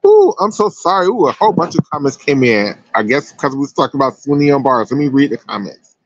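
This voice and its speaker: A man's voice: medium pitch, very animated, fast, dry recording, highs cut off. An American man giving casual, chatty sports commentary as a livestream host, emphatic and slangy.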